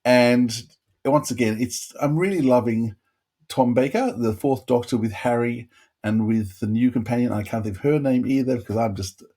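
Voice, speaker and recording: A man's voice: mid-range voice, moderate pace, warm tone. A man co-hosting a podcast, giving casual reviews in a rambling way, often interrupting himself. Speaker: podcast co-host. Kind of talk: casual chat, reviewing audio dramas.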